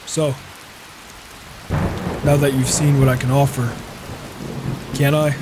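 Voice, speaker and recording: A man roleplaying a reclusive, self-assured mercenary warrior in a video game, talking in a measured, musing way. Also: medium pitch, flat delivery, close to an everyday voice. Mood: guarded, curious. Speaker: roleplaying player. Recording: hissy microphone with rain and thunder. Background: rain and thunder.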